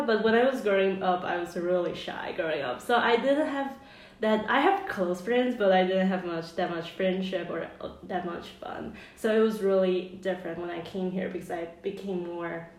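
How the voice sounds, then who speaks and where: Young Taiwanese woman in an echoey room. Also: medium pitch. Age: young adult. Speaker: international student, podcast guest. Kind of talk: casual chat.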